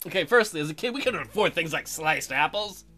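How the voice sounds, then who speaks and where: A man's voice: fairly high pitch, lively intonation, fairly quick, sharp tone. A man hosting a let's play, reading game text aloud and adding casual, sarcastic, joking commentary.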